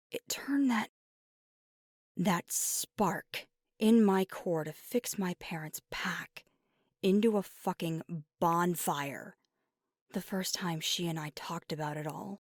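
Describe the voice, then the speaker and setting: A woman playing an earnest, conflicted young werewolf girlfriend in an intimate ASMR roleplay, speaking softly and candidly, often near a whisper, close to the mic. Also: on the low side, no echo. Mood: fervent, admiring. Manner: deliberate, candid, casually profane. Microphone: close microphone, dry.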